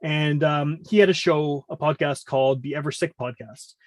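Rambling man, slightly high, dry close sound. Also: moderate pace, darkish tone, no room sound. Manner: talkative, rambling, self-interrupting. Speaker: podcast guest, music podcast host. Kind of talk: casual conversational interview.

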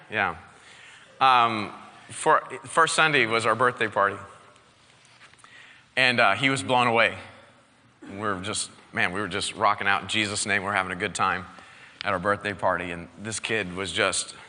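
A male pastor preaching an energetic sermon, exhorting his congregation with call-and-response. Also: lowish voice, normal speed, pauses often, in a large hall.

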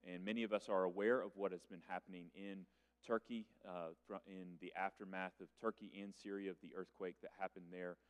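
Male pastor, very deep voice, measured with fillers, on a church stage with long reverb. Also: level tone. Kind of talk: church announcements.

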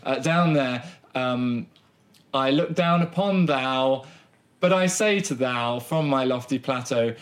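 A man's voice: medium pitch, slow. A man with a Southern English accent co-hosting a podcast in casual chat, joking in a mock-pompous way and rambling with restarts. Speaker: podcast co-host.

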